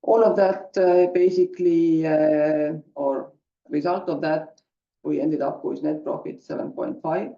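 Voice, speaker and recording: A man presenting financial results on an earnings call as a finance executive, haltingly and with frequent filler sounds, over muffled, roomy call audio. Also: fairly high pitch, steady tone. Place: conference call.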